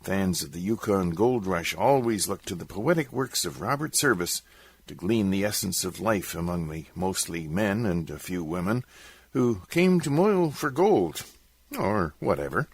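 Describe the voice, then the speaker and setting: A man with a theatrical, expressive delivery, introducing and reciting comic narrative verse as a radio host. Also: sits low, slightly rough.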